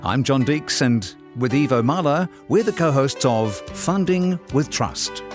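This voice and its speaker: A man co-hosting a podcast, presenting in a measured, polite, scripted way. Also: medium pitch, animated, over music. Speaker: podcast co-host. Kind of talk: scripted podcast introduction and interview.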